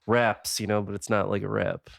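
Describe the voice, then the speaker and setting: A man's voice: on the low side, flat delivery, normal speed, creaky, a bit bright, no echo, clean studio sound. A man in a casual podcast chat, giving his opinions in loose, slangy phrasing. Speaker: podcast panelist.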